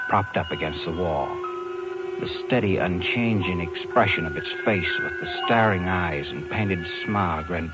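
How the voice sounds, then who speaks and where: A man's voice: sits low, poor audio. A man acting a hard-boiled, stubborn talent agent in a 1950s radio drama, talking in a tough, slangy, insistent way. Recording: 1950s radio recording with music behind.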